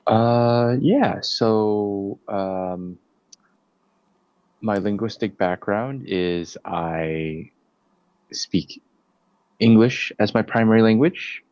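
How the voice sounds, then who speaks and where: A young man in his twenties answering interview questions casually, pausing often with fillers. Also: deep voice, darkish tone, no echo. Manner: hesitant, many fillers and restarts. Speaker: interviewee, nursing student. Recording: dull, dry lo-fi sound.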